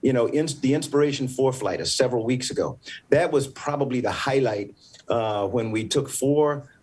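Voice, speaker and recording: An older man from the American South speaks as a former astronaut on a festival panel. He explains things patiently and deliberately, often restarting his sentences as he works toward the point, in a voice of middle pitch. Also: a little mellow, slightly rough. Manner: measured, explanatory, with frequent restarts. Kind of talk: panel interview answer.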